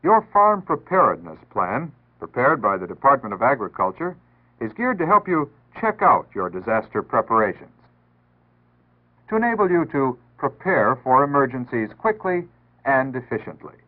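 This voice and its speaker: A man's voice: mid-range voice, big pitch swings, moderate pace. An American man reads a scripted 1960s public service announcement in an earnest, instructive, formal way. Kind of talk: 1960s scripted civil defense announcement narration. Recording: tinny band-limited 1960s radio-style archive audio.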